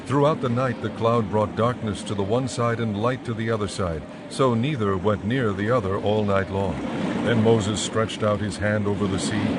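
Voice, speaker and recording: A man narrates scripture as a dramatized audiobook. His voice is fairly low, and his delivery is formal and measured. Music runs clearly behind the reading.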